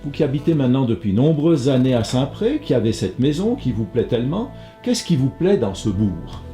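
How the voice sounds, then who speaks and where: A male interviewer asks his questions in a lively, mellow voice with a polite, formal manner. His voice carries the echo of a roomy space, with faint music underneath. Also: mid-range voice, a little fast. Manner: polite and formal, with short murmured acknowledgements.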